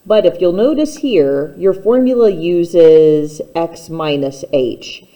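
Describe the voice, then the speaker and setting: A woman's voice: on the low side, expressive, takes it slowly, dark tone. A woman teacher giving a step-by-step instructional math lesson, speaking plainly and patiently straight to the learner.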